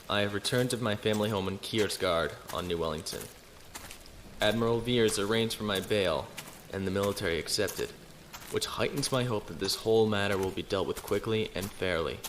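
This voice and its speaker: Casting: man as dutiful young space-navy lieutenant, courteous, on the lower side, for audio drama. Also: a bit bright, a little hiss or hum, natural voice. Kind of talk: audio drama acting, log narration.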